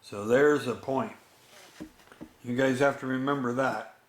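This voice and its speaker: A man telling a bedtime story in an unhurried, expressive way, with chatty asides to young listeners. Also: medium pitch. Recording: echoey room.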